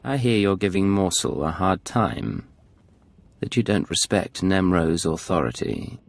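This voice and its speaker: A man's voice: low voice, animated, measured pace, creaky, no room sound, exaggerated voice. A man playing a cool, businesslike hired operative in a video game, controlled and matter-of-fact. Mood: cool, firm.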